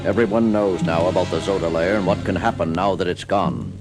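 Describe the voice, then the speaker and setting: An actor playing a solemn, regretful US President in an audio drama, formal and deliberate in delivery. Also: male voice, low-pitched, over music. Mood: grave, regretful. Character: grave, regretful male US President. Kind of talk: theatrical audio drama acting.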